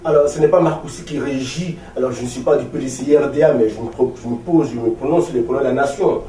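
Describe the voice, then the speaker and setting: A man's voice: mid-range voice, quick pace, dark tone. A man in his forties with an Ivorian French accent, speaking as a political leader in an interview, emphatic and rhetorical with repeated phrases. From Ivory Coast. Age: 40s. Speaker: opposition political party leader being interviewed. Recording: roomy, distant mic with faint noise.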